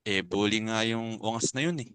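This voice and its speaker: Naive college son played by a man, lowish clean voice, dry sound, rambling drama delivery. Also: normal speed, fairly bright tone, smooth, no echo, natural voice. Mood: puzzled, wounded. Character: naive, sensitive young male college student, bullied son. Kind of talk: dramatic stage reading in Tagalog.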